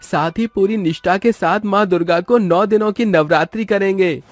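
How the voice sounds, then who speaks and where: A man playing a poor, devout young village brother in an animated moral story, earnest and reverent. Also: high voice, normal speed, dry recording, soft background music, close to an everyday voice.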